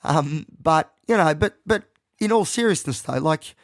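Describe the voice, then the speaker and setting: A young Australian man talks through his podcast while fighting a head cold, his voice croaky and nasal. He sits a little high for a man and rambles along with plenty of ums and self-deprecating asides. The sound is dry and close. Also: expressive, a little slow, no room sound. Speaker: podcast host. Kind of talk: casual solo podcast monologue.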